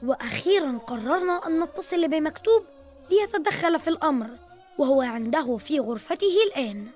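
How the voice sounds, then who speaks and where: A dependable, caring young boy in a children's cartoon. The voice is extremely high, the delivery earnest and considerate, with faint music underneath. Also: expressive, brisk, darkish tone. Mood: concerned. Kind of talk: cartoon dialogue in formal Arabic.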